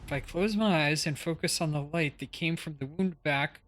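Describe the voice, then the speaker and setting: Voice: medium pitch, moderate pace, a bit bright. A game streamer giving casual live commentary, musing aloud in an offhand way and reading some dialogue.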